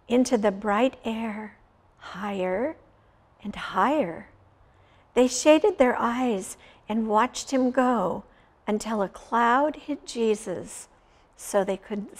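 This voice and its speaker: A woman in her sixties hosting a children's story time, reading Bible stories and praying in short, deliberate phrases with frequent pauses. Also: fairly high pitch. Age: 60s. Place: small furnished indoor room, seated.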